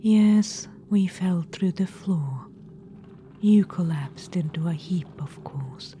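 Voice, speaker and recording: Undead witch-queen, haughty and cruel yet doting, very dark hushed whisper, faint ambience behind ; a woman performs her. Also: fairly low pitch, slow, slight background noise. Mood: doting menace. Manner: whispered, purring, calls listener darling; haughty and gleefully macabre. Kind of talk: whispered ASMR audio roleplay.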